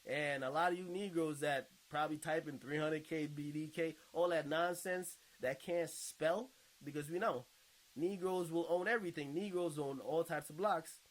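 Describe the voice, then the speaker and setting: A man's voice: on the high side, moderate pace, rough recording. A man giving casual reaction commentary in a blunt, mocking, slangy way, as if talking straight to his viewers.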